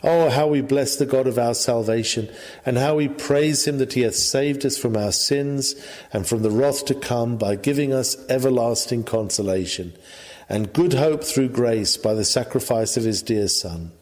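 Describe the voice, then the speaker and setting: A man reading an old sermon aloud in a measured, formal, earnest preaching manner. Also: medium pitch, a little mellow. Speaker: reader of a historical sermon. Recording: long hall-like reverb.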